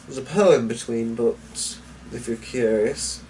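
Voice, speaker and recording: A man with a mid-pitched voice narrates a story in a dramatic reading style. He sounds a little away from the mic, with clear noise in the background. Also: slow, dark tone, distant mic, low-quality recording. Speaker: story reader.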